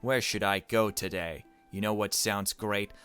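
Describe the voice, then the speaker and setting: A man's voice: fairly low pitch, fairly even intonation, a little slow, a bit bright, smooth, soft background music. A man giving casual, wry commentary as he plays and reads a visual novel aloud. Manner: wry, teasing asides. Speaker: let's player reading a visual novel aloud. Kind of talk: casual gameplay commentary mixed with read-aloud dialogue.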